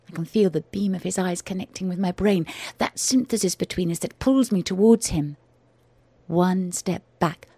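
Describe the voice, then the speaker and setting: A woman performing her own first-person audio drama, in a measured, intense delivery that often drops to a hushed whisper. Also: lowish voice, slightly rough, dry recording. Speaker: writer and performer.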